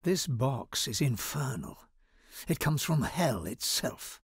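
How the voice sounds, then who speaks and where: An actor playing a guarded, anxious scholar wizard in a video game, speaking in an articulate, wry and dramatic manner. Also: male voice, medium pitch, very animated, takes it slowly, breathy edge, no room sound, clean studio sound, full character voice. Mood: earnest, troubled.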